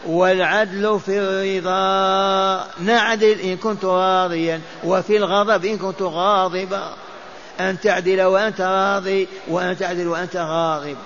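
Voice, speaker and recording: A man's voice: high-pitched, little pitch movement, moderate pace, a bit bright, slight background noise. An older man giving a mosque lesson on hadith, chanting and repeating texts so listeners memorize them. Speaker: mosque teacher. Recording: dull lo-fi lecture recording.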